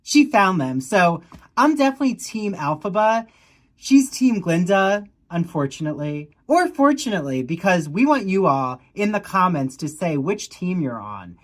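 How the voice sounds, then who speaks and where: A woman in her thirties with a low voice, co-hosting a chatty podcast. She gushes through sponsor reads in an animated, enthusiastic way. The sound is dry and close. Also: moderate pace, no echo. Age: 30s. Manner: gushing, animated, with theatrical gasps. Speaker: podcast co-host. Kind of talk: casual chat with sponsor reads.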